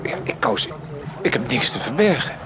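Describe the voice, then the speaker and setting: An actor playing a troubled, articulate middle-aged politician in a radio drama, speaking haltingly. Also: male voice, medium pitch, very animated, crowd noise, lightly acted. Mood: defensive, agitated. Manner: halting, repeats himself when pressed.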